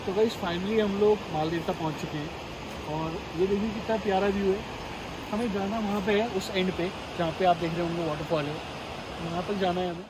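A man's voice: sits high, steady tone, a little fast. A young man from India narrating a casual travel vlog to his viewers, chatty and enthusiastic, recorded on a phone in a car and outdoors. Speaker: travel vlogger. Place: in a car, then outdoors by a river. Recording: outdoor phone audio with loud background noise.

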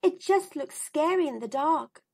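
A woman voicing an excitable little girl in a children's storybook, telling things earnestly in a childlike way. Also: high voice, measured pace, gravelly, voice pushed up, full character voice. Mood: eager.

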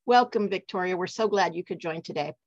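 A woman co-hosts a webinar on a video call and welcomes the guest smoothly. Her mid-pitched voice comes through dull, dry audio. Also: a little fast, no echo. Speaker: webinar co-host.

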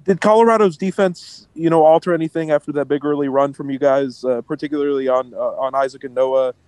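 A man's voice: on the high side, steady tone, a little fast, darkish tone, clean tone, no room sound, low-quality recording. A male reporter asking a coach questions at a press conference, rephrasing as he goes. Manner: searching, rephrases mid-question.

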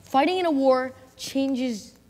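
A woman's voice: sits high, slow pace, a little mellow. A woman playing a weary, bitter young male soldier in an amateur drama, talking in an earnest, emphatic way. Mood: grim. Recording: echoey hall.

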